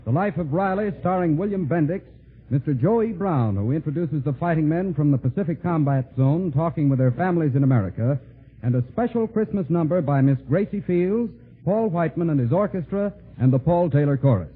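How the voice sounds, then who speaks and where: A man's voice: on the high side, lively intonation, a little fast. A man announcing formally in the style of 1940s network radio. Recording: tinny 1940s radio broadcast recording.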